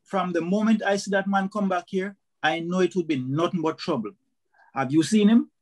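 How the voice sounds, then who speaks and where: A man's voice: high-pitched, moderate pace, a little mellow, close to an everyday voice. An actor playing a bitter, grumbling husband and father with a Jamaican accent in a radio drama. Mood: bitter, indignant.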